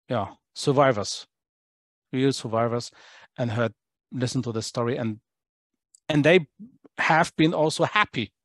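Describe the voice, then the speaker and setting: A man's voice: mid-range voice, no room sound. Hesitant man, dry close sound. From Poland. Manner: hesitant, many fillers and restarts, laughs easily. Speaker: podcast guest, tour guide. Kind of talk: casual podcast conversation.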